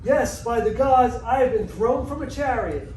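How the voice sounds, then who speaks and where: Echoey room, guy playing a wailing aggrieved moneylender, really high voice, melodramatic stage comedy. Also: normal speed, a little mellow. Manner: melodramatic, plaintive. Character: luckless, wailing male moneylender, self-pitying and aggrieved. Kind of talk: amateur dramatic reading of classical comedy. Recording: echoey room, distant mic, faint noise.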